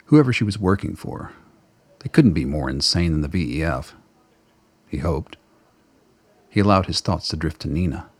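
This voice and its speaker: Very deep, husky male narrator. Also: expressive, normal speed, long pauses, dry recording. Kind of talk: scripted radio play narration.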